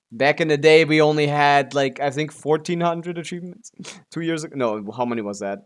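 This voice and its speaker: A man's voice: a little high, smooth. Casual male game streamer, muttering along. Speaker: live streamer. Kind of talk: casual gaming livestream chatter.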